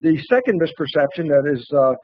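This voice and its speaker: A man with a fairly high voice lectures on science for a lay crowd, conversational and emphatic. He keeps asking questions and answering them in plain colloquial words. The sound is dry and slightly muffled, lo-fi. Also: lively intonation, a little fast, no room sound. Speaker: author and lecturer. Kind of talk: animated popular-science lecture.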